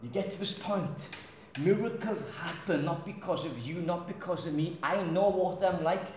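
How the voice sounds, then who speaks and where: Middle-aged British male preacher, loud and storytelling, high voice, faint background noise. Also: moderate pace. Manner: loud crusade-style preaching, walks about. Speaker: guest preacher. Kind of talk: sermon with anecdotes. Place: large church hall. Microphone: far camera, no mic. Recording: echoey church hall, faint noise.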